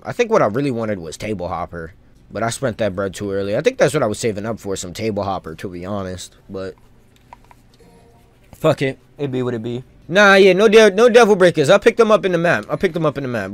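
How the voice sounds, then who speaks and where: A man streaming a video game with casual live commentary, rambling and thinking out loud in loose slang. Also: mid-range voice.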